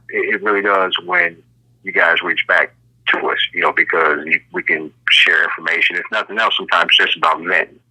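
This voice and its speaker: Middle-aged male guest, American accent, low-pitched, forceful and sharp, rambling casual storyteller on a phone line. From Cincinnati, Ohio, United States. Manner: rambling, repeats phrases, often says "you know". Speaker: interview guest.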